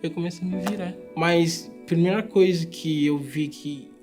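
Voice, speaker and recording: A man of twenty-six with a Nigerian accent, a non-native speaker, telling his story in a documentary testimonial and pausing to find words. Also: a little high, fairly even intonation, music in the background. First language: not Portuguese. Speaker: refugee, English teacher and musician.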